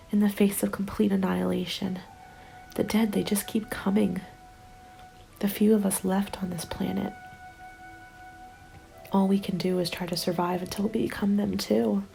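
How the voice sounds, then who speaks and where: A woman playing a weary, hopeless apocalypse survivor, giving a sombre diary monologue in a soft, breathy half-whisper as ASMR roleplay. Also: on the low side, low-quality recording. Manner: resigned, sombre, deliberate.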